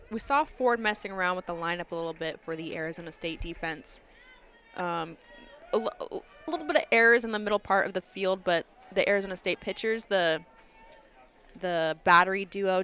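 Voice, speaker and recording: An American woman serves as color commentator on a softball broadcast. Her voice is a little low for a woman, and she breaks down the plays in live analysis. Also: a little fast.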